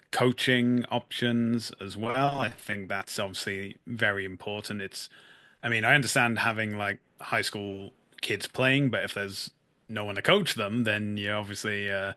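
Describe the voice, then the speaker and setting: Male podcast host, keen and affirming, bright voice, dry close sound. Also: on the low side, no echo. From not from Canada. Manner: enthusiastic, affirming interviewer. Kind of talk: casual interview.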